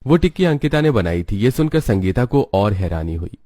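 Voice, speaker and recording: A man with a low voice narrates a children's cartoon tale. He reads from a script in a storybook style, as the narrator of the story. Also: big pitch swings, brisk, warm tone, soft background music.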